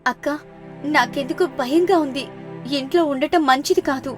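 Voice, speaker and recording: A woman's voice: high-pitched, moderate pace, over music, voice pushed up, natural voice. A woman voicing an anxious, pleading younger sister in her twenties, with a worried, urgent manner, in a moral story. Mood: fearful, urgent. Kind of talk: moral story character dialogue.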